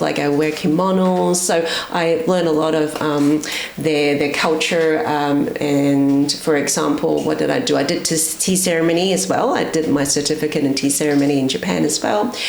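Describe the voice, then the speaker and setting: Lady in her 40s, soft and thoughtful, lots of ums, kinda echoey room. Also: deep voice, gravelly, slight background noise. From Hong Kong. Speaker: guest, retail and education manager. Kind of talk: casual interview chat. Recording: roomy echo.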